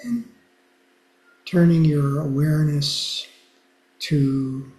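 A man with a quiet, soft voice guides a meditation at a very unhurried pace, pausing often and filling gaps with gentle fillers. His voice sits a little high for a man. The sound comes over a video call in a roomy, echoing space. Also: steady tone. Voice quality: soft-spoken, low volume. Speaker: mindfulness teacher.

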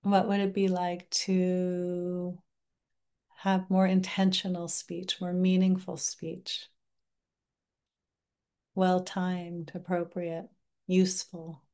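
A woman teaching a mindfulness class over a video call, leading a guided reflection in a gentle, unhurried way with frequent pauses. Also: fairly low pitch, monotone, clear, resonant tone. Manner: gentle, unhurried, pausing often.

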